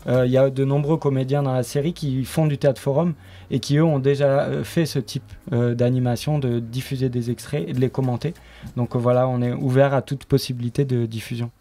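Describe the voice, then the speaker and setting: Halting man explaining, mellow voice. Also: mid-range voice, little pitch movement. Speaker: guest, radio drama writer and studio member. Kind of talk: unscripted radio interview.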